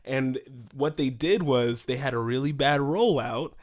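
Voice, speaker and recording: A man's voice: mid-range voice, measured pace. A man co-hosting a podcast, giving casual opinions in a conversational way. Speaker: podcast co-host.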